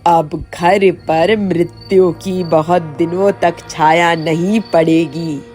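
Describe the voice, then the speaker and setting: Woman voicing an ominous, deep-pitched male mystic. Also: steady tone, takes it slowly, a little mellow, faint music behind. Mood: ominous warning. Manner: solemn, warning, incantatory. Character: grave male tantric mystic, ominous and authoritative.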